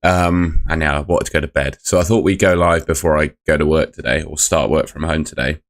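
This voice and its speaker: A young man hosting a casual live football review, his voice croaky from shouting the night before, chatty and rambling as he reads out chat messages. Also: extremely low pitch, fairly even intonation, fairly quick. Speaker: fan channel host.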